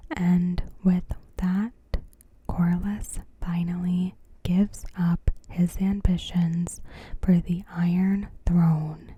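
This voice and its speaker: Very slow, breathy whispering ASMR woman. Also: on the low side. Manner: soft-spoken, unhurried, careful phrasing. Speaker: ASMR creator. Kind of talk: ASMR, scripted episode recap narration.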